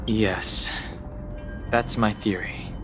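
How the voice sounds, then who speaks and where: A man's voice: lowish voice, fairly even intonation, extremely slow, over music. A man playing a level-headed, studious young crew member in a video game, explaining things matter-of-factly.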